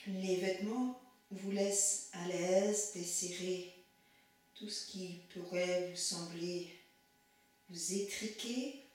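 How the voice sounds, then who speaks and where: A woman guiding a yoga relaxation as an instructor, calm and measured, giving short instructions with pauses. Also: fairly low pitch. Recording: very echoey room, distant microphone.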